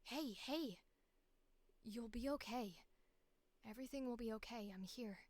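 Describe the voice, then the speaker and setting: A woman's voice: a little high, unhurried, no echo. A woman voicing an earnest, protective young boyish friend in an anime style, speaking reassuringly. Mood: urgent reassurance. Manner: reassuring, hushed at times. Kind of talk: anime-style voice audition acting.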